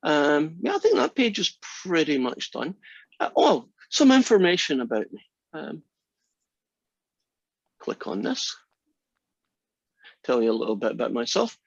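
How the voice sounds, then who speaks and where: A man's voice: on the high side, big pitch swings, measured pace, creaky, pauses often, breathy edge, no echo. Teasing Scottish man teaching math. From Scotland. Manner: teasing, joking. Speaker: math instructor. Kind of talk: class introduction. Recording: slightly dull lo-fi sound.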